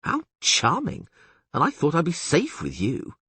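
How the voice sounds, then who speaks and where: A man's voice: on the high side, huge pitch swings, unhurried, bright, forceful tone, breathy edge, no room sound, voice pushed up, exaggerated voice. A man voicing a vain, flippant poet in an audiobook, mocking and theatrically aggrieved. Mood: aggrieved, whining. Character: flippant, whining male poet, vain and complaining. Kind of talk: audiobook character acting.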